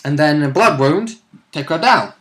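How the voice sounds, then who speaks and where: A man's voice: fairly high pitch, lively intonation, normal speed, fairly bright tone. A man chatting as a tabletop player, informal and rambling, with the odd casual swear word. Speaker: player in a tabletop role-playing game. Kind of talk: casual chat about game rules and play.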